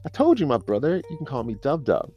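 Male narrator as clueless chummy office guy for audio comedy; pitched high, pushy, slangy, overfamiliar. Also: huge pitch swings, quick pace, lo-fi sound, faint music behind. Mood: chummy, nosy. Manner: overfamiliar, rambling, peppering talk with bro-style slang. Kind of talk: comic audio fiction dialogue.

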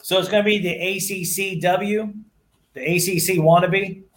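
A man's voice: sits high, fairly even intonation, normal speed. A man co-hosting a casual sports chat with a wry, teasing manner. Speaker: podcast co-host.

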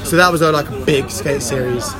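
A man's voice: medium pitch, a little fast. A man, a band member chatting loosely in an interview outdoors, full of fillers and swearing. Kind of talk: casual interview chat. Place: outdoors in an urban smoking area. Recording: loud music and crowd behind.

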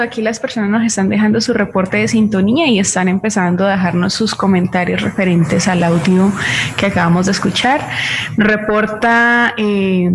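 A woman's voice: medium pitch, a little hiss or hum. A woman with a Colombian accent co-hosting, reading out audience greetings and comments.

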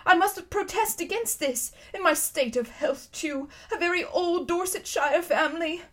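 A woman voicing a cowardly, fussy old gentleman in a storybook reading, pleading and wailing in a trembling, self-pitying way. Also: extremely high pitch, normal speed, voice pushed up.

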